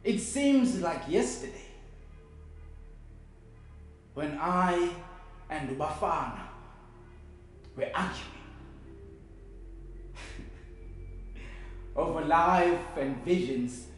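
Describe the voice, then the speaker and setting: An actor with a South African accent playing a grieving, bitter man who delivers a halting, emotive funeral eulogy as a theatrical monologue. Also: a little high, animated. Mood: solemn, grieving. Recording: very echoey room, music behind.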